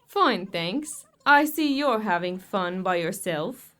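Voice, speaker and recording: A woman's voice: medium pitch, animated, slow, a bit bright. A woman playing a worried, bitter young girlfriend in an audio horror drama, speaking earnestly and with exasperation. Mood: strained.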